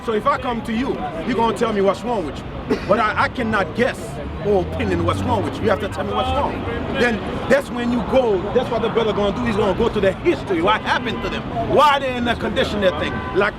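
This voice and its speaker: A man as a street preacher with a very high-pitched, insistent voice. He preaches outdoors on a busy street, recorded amid clear crowd chatter and noise around him. He keeps checking if his listener understands. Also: fast. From Haiti. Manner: emphatic, repeats "you understand?".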